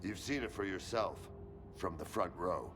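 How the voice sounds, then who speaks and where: An actor playing a gruff, short-tempered colonel in a video game, barking orders with profane sarcasm. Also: male voice, low-pitched, animated, moderate pace, music in the background. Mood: commanding, sardonic. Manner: brusque, commanding, profane, sarcastic.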